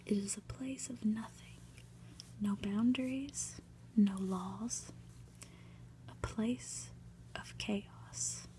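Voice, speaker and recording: A woman reads a story aloud in a hushed, breathy ASMR whisper, deliberate and full of pauses. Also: medium pitch, poor audio. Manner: slow, deliberate, hushed delivery with dramatic pauses. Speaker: ASMR reader.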